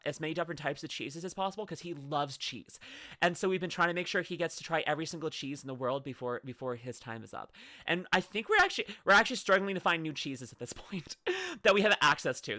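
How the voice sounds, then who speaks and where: A woman's voice: deep voice, pushed and bright, dry recording, clean studio sound. A young woman streaming from home, chatting casually and gushing effusively, with many restarts. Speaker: streamer reacting to videos. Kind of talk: casual live-stream chat.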